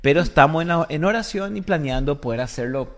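A man's voice: a little high, expressive, fairly quick. Argentine-born man, casual podcast pastor. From Argentina, raised in Paraguay. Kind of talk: casual conversational podcast talk with faith teaching.

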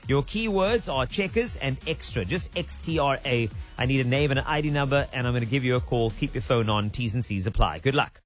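A man with a South African accent, a radio presenter reading an energetic, punchy promo. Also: medium pitch, brisk, few pauses. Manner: energetic, punchy sales delivery. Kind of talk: upbeat radio promo read. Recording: music bed behind.

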